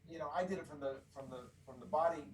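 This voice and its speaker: A man's voice: on the high side, fast talker. Encouraging male podcast host, roomy sound. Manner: encouraging, loose with fillers. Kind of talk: casual interview chat. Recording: roomy distant mic with faint noise.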